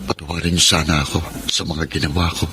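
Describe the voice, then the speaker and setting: An actor playing a proud young husband in a Filipino radio drama. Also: male voice, a bass voice, little pitch movement, fast, noisy background. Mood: contrite. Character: proud young husband, judgmental, later humbly sorry.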